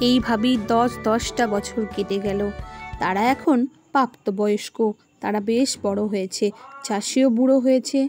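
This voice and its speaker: A woman reading scripted storybook narration for an animated moral tale. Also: fairly high pitch, moderate pace, music in the background.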